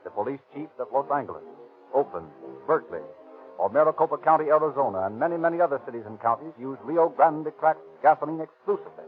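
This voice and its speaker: Tinny 1930s American radio announcer, male. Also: medium pitch. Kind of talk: 1930s radio scripted sponsor read and narration.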